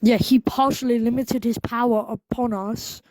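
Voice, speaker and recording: A woman's voice: fairly high pitch, steady tone, moderate pace, darkish tone, dry recording, rough recording. An eighteen-year-old woman with a childlike voice, calling into a debate and searching for her words. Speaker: caller.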